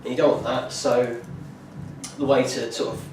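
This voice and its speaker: A young man giving an informal class presentation, talking in a halting way with many fillers and restarts. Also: mid-range voice, steady tone. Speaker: music student presenting a performance plan. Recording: echoey room from far off, noisy.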